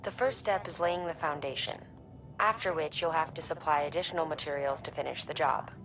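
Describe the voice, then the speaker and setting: An actress playing a friendly, persuasive support operator in a video game, explaining things conversationally. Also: female voice, low-pitched, fairly quick, a bit bright, soft background music. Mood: friendly, encouraging. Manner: conversational, encouraging. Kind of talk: video game tutorial dialogue.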